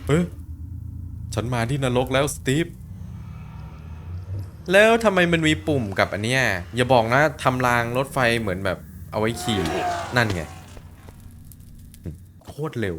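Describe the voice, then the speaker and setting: A young adult man giving casual, excitable reaction commentary on an animation, exclaiming often and speaking in slang. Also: mid-range voice, a little slow, pauses often, slight background noise.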